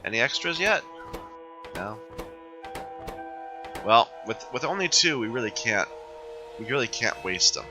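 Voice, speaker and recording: A man with a hoarse voice from being sick, hosting a game playthrough and thinking aloud as he plays, often correcting himself. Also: medium pitch, sing-song, measured pace, pauses often, over music. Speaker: let's play host. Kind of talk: casual gameplay commentary.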